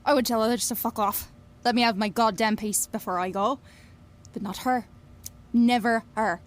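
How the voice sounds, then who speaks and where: A young woman, played by an actress, tells her story in an audio drama. Her voice sits fairly high, and she is wry and confiding, swearing freely while sounding wistful. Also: fairly even intonation, moderate pace, natural voice. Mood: fond, reflective. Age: young adult. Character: Billie, brash, wistful young Scottish woman obsessed with fairies. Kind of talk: audio drama narration and dialogue.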